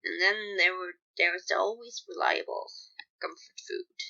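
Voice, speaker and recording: A woman's voice: on the low side, a little slow, vocal fry, sharp tone, lo-fi sound, exaggerated voice. A woman voicing a guarded but caring young man with a dry, offhand, grudgingly kind manner in a story reading. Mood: guarded, reassuring.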